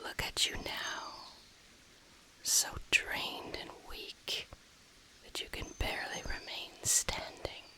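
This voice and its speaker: A woman voicing an ancient, haughty, teasing dragon in human form, in an intimate audio roleplay with a breathy voice that often drops to a whisper. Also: sharp tone, low-quality recording. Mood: hushed, condescending. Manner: slow, deliberate, condescending, teasing. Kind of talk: intimate audio roleplay, scripted character acting.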